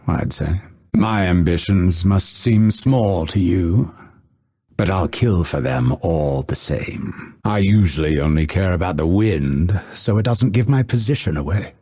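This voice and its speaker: An actor playing a solemn, dry-witted male assassin in a video game, with measured, coldly menacing delivery. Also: sits low, warm tone, slightly rough, exaggerated voice. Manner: measured, deliberate, dryly sardonic delivery. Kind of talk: scripted game character voice lines.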